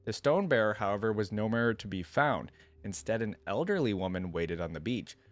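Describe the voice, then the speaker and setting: A man's voice: lowish voice, expressive, a little fast. A man hosting a reaction video, switching between casual, think-aloud commentary and reading text aloud.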